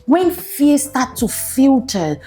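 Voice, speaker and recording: A woman on a discussion panel talks earnestly, often stopping to search for the right words. Her voice sits fairly high, and faint music plays underneath her. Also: sing-song, takes it slowly, warm tone.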